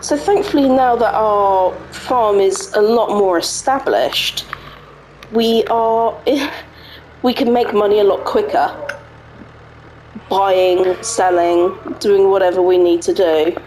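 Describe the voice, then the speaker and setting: A woman with a slightly croaky voice narrates her farming game session in an easy, chatty way, thinking aloud as she plays. Her home microphone picks up clear background noise. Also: medium pitch, slow, darkish tone, low-quality recording. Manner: chatty, talks to herself while playing. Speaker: gaming channel host. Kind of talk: casual let's-play commentary. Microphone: home desk microphone.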